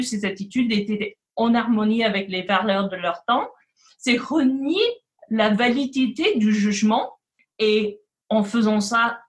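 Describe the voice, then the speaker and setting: A woman being interviewed, making a spontaneous, explanatory argument as an academic guest. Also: medium pitch.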